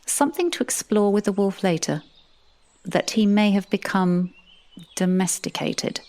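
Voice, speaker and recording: A woman playing a composed, wry supernatural narrator, telling a fantasy tale in a measured, deadpan manner. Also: medium pitch, natural voice. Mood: dryly amused. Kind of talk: scripted first-person fantasy narration.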